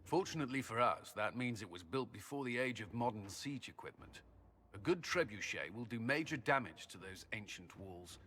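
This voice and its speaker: An actor voicing a confident male army commander who speaks briskly and with assurance. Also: lowish voice, expressive, a bit bright, slight background noise. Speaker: military commander.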